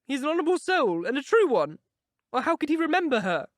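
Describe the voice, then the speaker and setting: A lovesick young woman pleads and protests, as a man reading aloud puts her on. The voice sits high, its pitch leaping up and down with feeling. The sound is dry and close. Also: big pitch swings, quick pace, no echo. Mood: tearful. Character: infatuated young woman, passionate and tearful.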